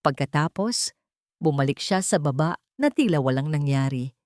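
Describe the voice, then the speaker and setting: Woman, low pitch, scripted dramatic storytelling, romance narration, very dry sound. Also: animated, moderate pace, a little mellow, no echo.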